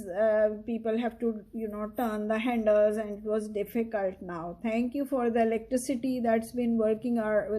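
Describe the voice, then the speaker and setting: A woman reading a children's story aloud in a deliberate, instructive manner, talking directly to the listener. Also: fairly high pitch, mellow, rough recording.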